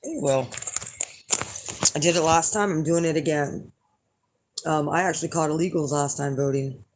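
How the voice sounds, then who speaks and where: A hoarse middle-aged woman chatting casually to her viewers as an astrology reader, rambling and blunt with lots of fillers. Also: deep voice, normal speed, noisy background. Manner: rambling and blunt, with frequent fillers and some swearing. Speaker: astrology reader and channel host.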